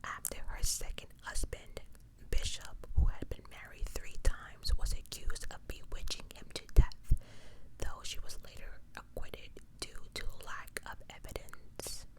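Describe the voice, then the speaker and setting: Asmr host whispering true crime the whole way, soft and breath only, chatty slangy asides. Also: low-quality recording. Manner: casual, slangy asides between read passages.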